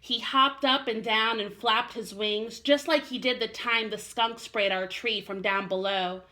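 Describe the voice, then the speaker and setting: A woman's voice: on the high side, fairly even intonation, unhurried, sharp tone. A woman teacher reading aloud expressively to young children, with an encouraging manner. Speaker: first-grade teacher.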